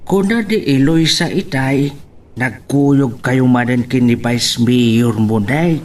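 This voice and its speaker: A man's voice: mid-range voice, slow pace, close to an everyday voice. A man with an Ilocano accent playing a nosy, teasing older uncle in a radio drama. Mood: prying.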